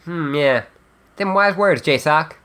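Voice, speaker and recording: A man voicing a chatty, flippant teenage girl in a webcomic dub, with wry, drawn-out emphasis. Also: on the high side, expressive, fairly bright tone. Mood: wry, playful. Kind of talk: character dub reading of a webcomic.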